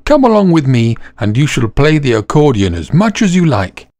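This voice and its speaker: A man's voice: medium pitch, sing-song, moderate pace, a little mellow, no room sound, studio quality, close to an everyday voice. A man voicing an old, stubborn female donkey who is hopeful and friendly, in a storybook reading. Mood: cheerful.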